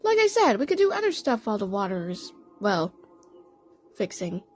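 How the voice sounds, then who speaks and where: A woman's voice: mid-range voice, sing-song, normal speed, a little mellow, faint music behind, pitched below the performer's own voice, lightly acted. A woman voicing an upbeat, reassuring young girl in a cartoon fan story. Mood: cheery, reassuring. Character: upbeat, encouraging young girl, dollhouse leader.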